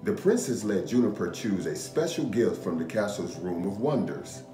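A man in his thirties reading a children's picture book aloud in an expressive, measured storytelling manner. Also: fairly low pitch. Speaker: storytime reader, host. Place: indoor room. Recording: roomy echo. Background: music.

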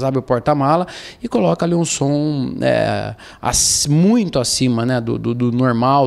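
A male official in his forties gives explanatory interview answers with a Brazilian accent. His mid-pitched voice is formal and halting, with hesitant pauses, picked up by a handheld microphone indoors. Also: vocal fry, darkish tone. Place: indoors against a plain wall. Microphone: handheld interview microphone held to him.

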